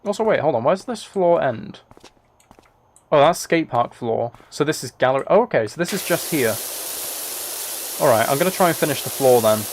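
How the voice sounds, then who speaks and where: A man's voice: a little high, lively intonation, normal speed, long pauses, a little hiss or hum. A man live streaming a video game, chatting casually and thinking aloud in a rambling way. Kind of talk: casual chat while playing a video game.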